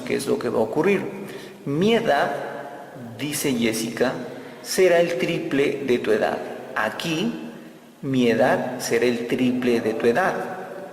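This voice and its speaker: A man's voice: mid-range voice, creaky, slight background noise. A man teaching an algebra lesson step by step, repeating key phrases as he works through the problems. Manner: slow, repeats key phrases while explaining. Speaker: math teacher. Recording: echoey room with long reverb.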